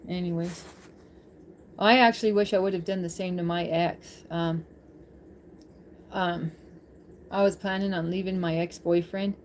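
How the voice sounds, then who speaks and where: A woman's voice: on the low side, steady tone, measured pace, long pauses, slight background noise. A woman hosting a livestream, chatting casually with viewers in an informal, rambling, giggly way.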